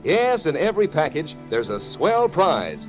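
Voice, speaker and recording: A male announcer from 1940s radio pitches to children with folksy eagerness. His delivery swings widely in pitch. The old recording is dull, with faint music underneath. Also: sing-song, unhurried. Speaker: radio announcer reading sponsor ad.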